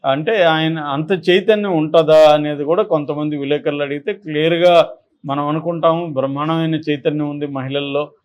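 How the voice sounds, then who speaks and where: Man, slightly high pitch, political commentary, unscripted, explanatory, emphatic, repetitive. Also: fairly even intonation, a little fast, lo-fi sound. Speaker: political analyst on a news discussion channel.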